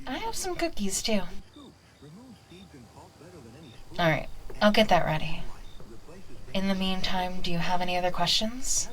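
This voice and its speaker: A woman's voice: mid-range voice, slight background noise, rough recording. A woman voicing a friendly, protective young half-human werewolf in a conversational ASMR roleplay, a little defensive in manner. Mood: caring, casual. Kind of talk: ASMR roleplay, acted monologue to the listener.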